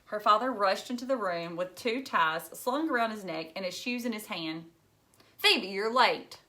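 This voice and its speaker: A woman's voice: mid-range voice, lively intonation, normal speed, pushed and bright. A woman reading a children's novel aloud expressively, like a teacher narrating to pupils.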